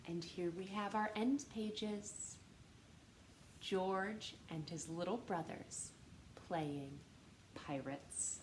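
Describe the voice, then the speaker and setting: A woman reading aloud expressively for young children as a storytime host, animated and addressing them directly with questions. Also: on the low side, extremely slow. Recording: roomy echo with faint background noise.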